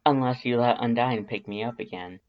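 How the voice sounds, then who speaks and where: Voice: mid-range voice, a little fast, lo-fi sound, natural voice. A narrator voicing an exasperated, sarcastic human woman in a story, defensive and petulant. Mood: wry.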